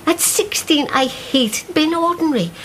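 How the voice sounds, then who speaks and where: A woman's voice: sits high, lively intonation, a little slow, fairly bright tone, a little hiss or hum. An actress in a radio drama playing an elderly woman, a former mill worker who reminisces wistfully and bitterly. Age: older. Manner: reminiscing, drifting into song. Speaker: Missus O'Hare.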